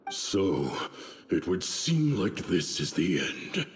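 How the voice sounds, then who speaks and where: Grandiose, echoey fanatical desert commander. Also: male voice, low-pitched, big pitch swings, slow, slight background noise, exaggerated voice. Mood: bitter, resigned. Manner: grandiose, declamatory. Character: proud, fanatical fallen desert mercenary commander, defiant to the end. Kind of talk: scripted video game acting. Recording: echoey hall reverb, game music behind.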